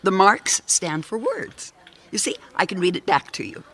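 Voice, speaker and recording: A woman's voice: medium pitch, big pitch swings, normal speed, fairly bright tone. A woman playing a kindly, hesitant secretary who humors a troubled stranger in a radio mystery drama. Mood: placating. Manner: hesitant, placating.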